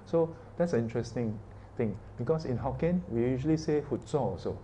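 Teacher, male, mellow, conversational, quizzes the room, slight noise under him. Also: medium pitch, animated, a little fast. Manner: chatty, asks the class questions, frequent "yeah" and "ah". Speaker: Buddhist teacher. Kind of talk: informal dharma class talk.

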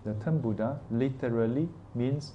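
A man's voice: medium pitch, moderate pace, muffled recording, a little hiss or hum. Chatty man teaching a class. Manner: chatty, asks the class questions, frequent "yeah" and "ah". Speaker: Buddhist teacher. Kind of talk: informal dharma class talk.

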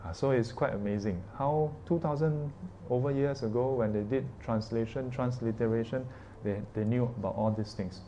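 A man's voice: mid-range voice, moderate pace, few pauses, dark tone, dull audio, a little hiss or hum. A man teaching an informal Buddhist class, chatty and asking his listeners questions, often tagging sentences with "yeah" and "ah". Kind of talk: informal dharma class talk.

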